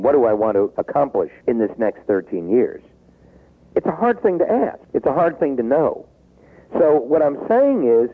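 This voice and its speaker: A man in his sixties talking in a taped interview, acting out quoted pleas and dropping low when he turns reflective. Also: husky. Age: 60s. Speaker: business author guest. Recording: tinny phone-like tape.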